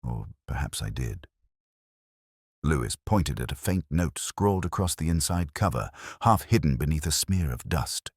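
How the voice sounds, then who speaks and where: A man narrating a first-person detective story in a measured, brooding, literary manner, as a world-weary investigator. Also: rumbling low, expressive, husky, dry recording, clean studio sound. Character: world-weary, reflective male police detective. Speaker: audio drama narrator.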